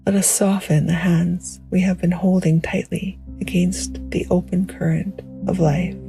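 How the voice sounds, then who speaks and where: A woman guiding a meditation in a gentle, hushed, poetic style, with a soft, breathy voice that often nears a whisper. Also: fairly low pitch, flat delivery, faint music behind. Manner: gentle, hushed, unhurried, soothing. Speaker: meditation guide. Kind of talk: slow poetic guided meditation narration.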